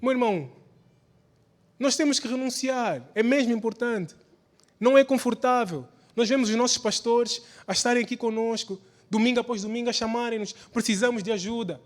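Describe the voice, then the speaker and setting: A man's voice: very high voice, moderate pace. A man preaching a church sermon, exhorting with repeated phrases and rhetorical questions. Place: large church hall during a service. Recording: reverberant hall sound.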